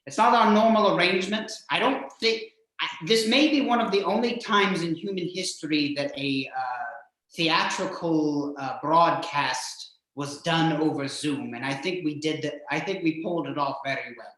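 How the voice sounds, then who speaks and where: Male horror-show host, higher-pitched voice, hammy and jokey, heavily echoing room. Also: normal speed, fairly bright tone. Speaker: host of a horror radio-play stream. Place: indoors, remote video call stream. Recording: very echoey, boomy room sound.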